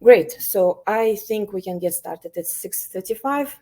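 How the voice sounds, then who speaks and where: A woman from Ukraine, an elementary teacher, presenting an online workshop over a video call, speaking with many pauses, fillers and restarts. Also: medium pitch, lively intonation, a little mellow.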